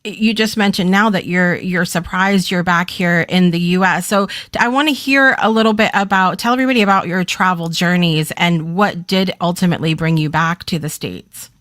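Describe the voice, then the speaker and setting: A woman's voice: fairly low pitch, steady tone, normal speed, few pauses, a bit bright, no echo, clean studio sound. A woman hosting a casual interview, encouraging her guest with frequent affirmations and laughs. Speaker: host.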